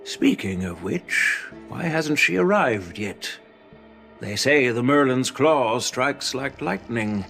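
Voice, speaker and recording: An actor playing an older, stern male general who lectures slowly and with measured weight. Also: vocal fry, faint music behind, exaggerated voice. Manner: measured, lecturing, lively pitch. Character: stern old male general, wise and commanding. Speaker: veteran general. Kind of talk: game voice acting.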